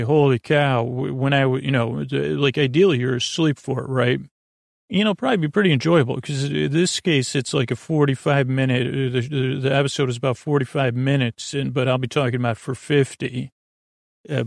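Guy hosting a bedtime podcast, gravelly voice, rambly and gentle, dry close sound. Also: medium pitch, dry recording. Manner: meandering, many restarts and fillers, gentle and reassuring. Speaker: podcast host.

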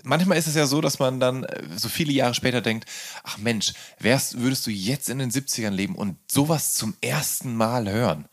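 A man interviewing in a casual, informed way, halting and correcting himself as he explains. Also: mid-range voice.

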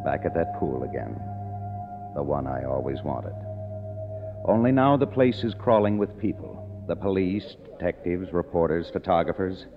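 A man's voice: deep voice, animated, moderate pace, music in the background. Bitter cynical younger man, radio drama, muffled hissy recording, echoey hall. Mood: wry narration. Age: young adult. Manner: sardonic, hard-boiled. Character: cynical younger kept man, a struggling writer, bitter narrator. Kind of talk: 1950s radio drama acting.

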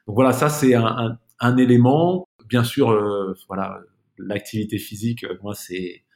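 A man's voice: mid-range voice, steady tone, moderate pace, a little mellow. A man speaking as a podcast guest in a casual, reflective interview, searching for his words and often repeating them.

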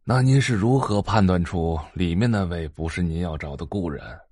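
A very deep-voiced old sage acted by a man in an audio drama. He is a genial Taoist elder who speaks with measured courtesy and deference. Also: darkish tone, dry recording, clean studio sound. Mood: measured, probing. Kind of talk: Chinese audio drama dialogue.

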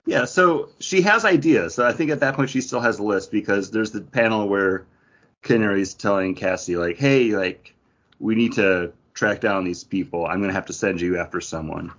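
A man with a fairly low voice chats as a podcast co-host. He talks in a casual, conversational way. The audio is somewhat dull and lo-fi. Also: moderate pace, a bit bright. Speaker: comic book podcast co-host. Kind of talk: casual book discussion.

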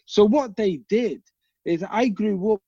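A man with a Liverpool accent, a guest telling animated, rambling stories and swearing freely. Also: sits high, a little slow, breathy edge, no room sound, dull audio. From England. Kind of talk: casual storytelling chat.

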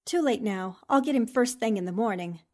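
An actress playing a confident, skeptical woman newspaper reporter in a 1940s-style radio drama, brisk and matter-of-fact. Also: a little high, darkish tone, close to an everyday voice.

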